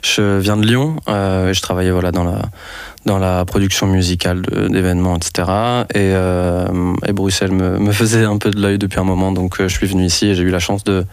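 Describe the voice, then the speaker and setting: A man's voice: low-pitched, little pitch movement, brisk, no room sound. A young man from France, a festival organizer speaking as a radio interview guest, hesitant with many restarts. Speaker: festival organizer and network coordinator.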